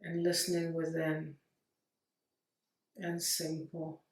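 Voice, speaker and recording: A woman guides a meditation in a gentle, very level voice. She speaks slowly and leaves long pauses between short phrases. The sound is roomy and echoing. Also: sits low, monotone. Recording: roomy echo, distant mic.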